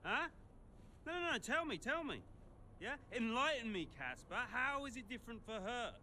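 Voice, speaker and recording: A man's voice: medium pitch, expressive, normal speed, fairly bright tone, slight background noise, lightly acted. A man playing a bitter, domineering young racing team boss in a cinematic game, sarcastic and prone to needling repetition. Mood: agitated, bitterly sarcastic. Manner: sarcastic, needling, repeats himself. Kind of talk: naturalistic cinematic game acting.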